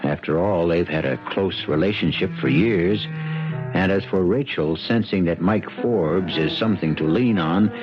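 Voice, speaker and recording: Over clear music in a dull vintage broadcast, an actor plays a wry American mystery host. His very low voice muses philosophically, with deliberate theatrical phrasing. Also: natural voice. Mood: wry, musing. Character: wry, musing male mystery show host. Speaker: host narrator. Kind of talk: scripted 1970s radio drama narration.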